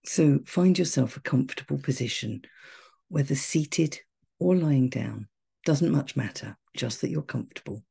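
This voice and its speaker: A woman guiding a meditation in a soft, breathy, soothing voice, gentle and measured with long pauses. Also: a bass voice, lively intonation. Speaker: meditation guide. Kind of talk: guided meditation, calm and soothing.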